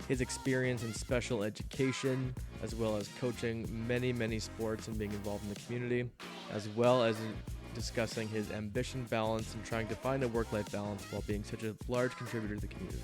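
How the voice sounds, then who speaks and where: A man's voice: fairly low pitch, level tone, fairly quick, over music. A young man hosting a podcast, reading a scripted intro and then chatting casually with a guest, often chiming in with short agreements. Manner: agreeable, frequent short affirmations. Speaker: podcast host.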